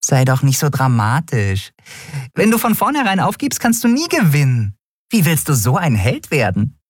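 A man's voice: a little high, wildly swooping pitch, normal speed, slightly rough, no room sound, clean studio sound, pitched above the performer's own voice, exaggerated voice. A man voicing a tiny winged female creature, playful, teasing and boastful, in an audiobook. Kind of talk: audiobook character voice.

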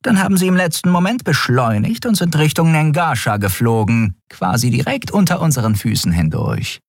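Guy doing a alien lady first officer, military report, pitched up, dry audiobook sound. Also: big pitch swings, moderate pace, dry recording. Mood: formal report. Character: female predator-toothed alien first officer, brisk, formal.

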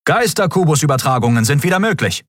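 A man plays an alert ship's officer in a science fantasy audiobook. The reports come urgent and formal, pitched high with a dry sound. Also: big pitch swings, quick pace, dry recording. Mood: announcing. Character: brisk, alert military bridge officers reporting.

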